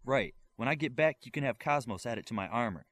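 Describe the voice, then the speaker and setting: A man's voice: mid-range voice, expressive, quick pace, a bit bright. A man voicing a composed, confident male superhero leader in an audiobook, terse and assured.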